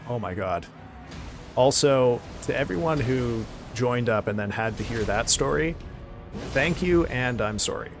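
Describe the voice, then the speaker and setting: A man chatting casually on a live stream, excitable and thanking viewers, his voice getting hoarse. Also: medium pitch, measured pace, over music. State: losing his voice.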